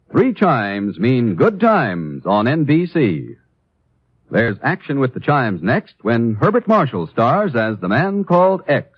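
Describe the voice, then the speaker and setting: 1950s radio announcer, American man: very lively swooping delivery, scripted, dull old broadcast sound, faint music. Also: mid-range voice, no room sound. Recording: muffled, husky-sounding old radio recording.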